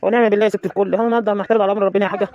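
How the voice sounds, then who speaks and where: A performer voicing a devoted adult son with an Egyptian accent who soothes his worried mother in brisk, reassuring words. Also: medium pitch, little pitch movement, dry recording, highs cut off, lightly acted. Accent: Egyptian Arabic. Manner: brisk, soothing, calls her "lady of all".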